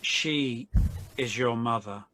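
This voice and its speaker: A man reading the part of Jesus, a calm, resigned condemned man, quietly and solemnly in a dramatized Bible reading. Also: medium pitch, takes it slowly, background noise, close to an everyday voice. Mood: tender.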